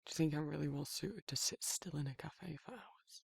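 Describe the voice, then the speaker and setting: Man playing a husky, gravelly, hesitant werewolf lover. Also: on the high side, no room sound, studio quality, full character voice. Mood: shy, tender. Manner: hesitant, halting, rambling, informal. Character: guarded, soft-hearted male werewolf shyly confessing affection. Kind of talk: intimate ASMR roleplay monologue.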